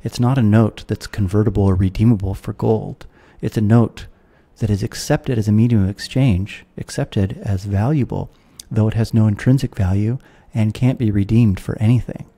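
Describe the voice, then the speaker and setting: American man explaining calmly, dark voice. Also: lowish voice. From United States. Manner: measured, explanatory. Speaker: guest philosopher. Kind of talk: podcast interview. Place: room at home with guitars. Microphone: studio mic with headphones, video call.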